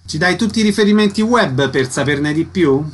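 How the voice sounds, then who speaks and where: Brisk radio host, fairly high voice. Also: male voice, expressive, husky. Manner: brisk, asks short questions. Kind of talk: radio interview. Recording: radio broadcast.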